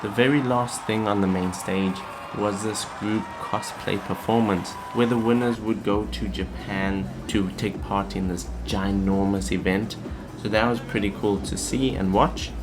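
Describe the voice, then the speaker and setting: A man with a low voice narrates a vlog in an informal, conversational way. Clear music plays behind his voice-over as he talks. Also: fairly even intonation, measured pace. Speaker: vlog host.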